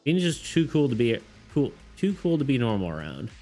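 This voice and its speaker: A man's voice: mid-range voice, very animated, a little fast, mellow. A man chatting casually with his viewers on a live stream, rambling, restarting his sentences and laughing often. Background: faint background music.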